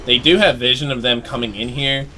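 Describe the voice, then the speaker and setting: A man giving live play-by-play commentary on an online team game, excitable and chatty, his voice jumping up during fights. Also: medium pitch, brisk, faint music behind, rough recording. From United States. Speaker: esports play-by-play caster.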